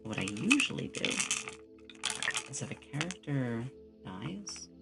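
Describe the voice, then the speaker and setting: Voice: mid-range voice, sing-song, takes it slowly, gravelly, loud music behind the voice. A streamer chatting casually with viewers in an ASMR stream, rambling along like a storyteller. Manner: rambling storyteller, acts out short quotes. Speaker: streamer, VTuber host.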